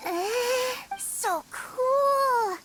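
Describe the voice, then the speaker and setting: A woman voicing an excitable, adoring, innocent little boy in a video game, wide-eyed and gushing. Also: very high-pitched, drawn-out words, very noisy, full character voice. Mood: awed delight.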